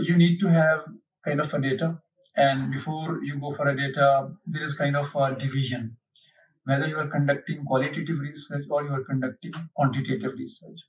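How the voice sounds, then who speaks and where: A man giving an unhurried explanatory lecture, pausing often with fillers as he explains. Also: on the high side. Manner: unhurried, hesitant, many fillers. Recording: dull lo-fi, mic at a distance.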